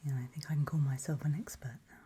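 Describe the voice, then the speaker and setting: A British woman whispers gently and unhurriedly in a breathy, reassuring ASMR medical roleplay as a doctor. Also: sits low, fairly even intonation, racing.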